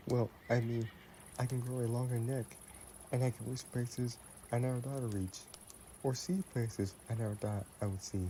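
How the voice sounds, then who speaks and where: A man playing an affectionate, playful vampire boyfriend in an intimate roleplay audio, chatting in a gentle, teasing way. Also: medium pitch, steady tone, normal speed, a little hiss or hum, lightly acted, poor audio. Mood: playful, chatty.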